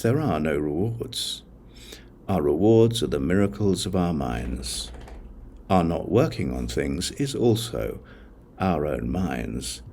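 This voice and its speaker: Male audiobook reader for sacred text: low-pitched, measured phrasing, slight background ambience. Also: a little mellow, slight background noise. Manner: measured, deliberate phrasing with pauses. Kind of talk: scripted narration of a Buddhist scripture.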